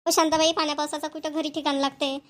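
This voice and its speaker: A woman plays a busy middle-aged cartoon mother from a village, high in pitch and very quick. She sounds hurried and practical, with a Vidarbha Marathi accent. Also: flat delivery, fairly bright tone. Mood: hurried, businesslike. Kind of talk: amateur cartoon voice acting.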